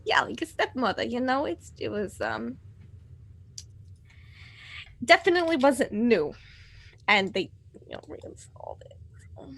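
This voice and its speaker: A woman's voice: fairly high pitch, fairly bright tone. A woman chatting casually as a player in a tabletop roleplaying game.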